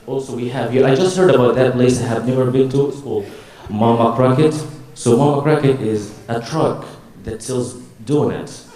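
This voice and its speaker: A man with an Egyptian accent speaks live to an audience in a very echoey hall, picked up from some distance. His pitch sits in the middle range, and his delivery is casual and joking, like someone telling stories on stage. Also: normal speed, hardly any pauses, dark tone. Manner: casual, joking storytelling with acted-out dialogue. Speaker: university staff presenter. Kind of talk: humorous live presentation to an audience. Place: large echoey room before an audience.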